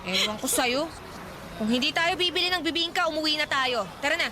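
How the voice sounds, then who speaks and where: A woman's voice: sits high, animated, a little fast, bright, forceful tone. A woman acting a sensible, bashful young woman with a Filipino accent, good-naturedly scolding in an audio drama. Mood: mildly exasperated. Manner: mock-exasperated, good-natured. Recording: clearly noisy background.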